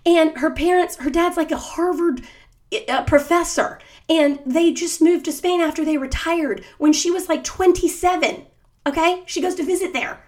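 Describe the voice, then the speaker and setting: A woman's voice: very high-pitched, normal speed. A woman co-hosting a casual gossip chat, telling stories animatedly and mimicking accents in quotes. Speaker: podcast co-host.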